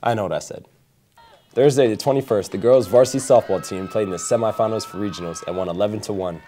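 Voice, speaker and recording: A teenage boy presenting a school sports roundup with brisk, joking delivery. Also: lowish voice, warm tone, faint music behind. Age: teens.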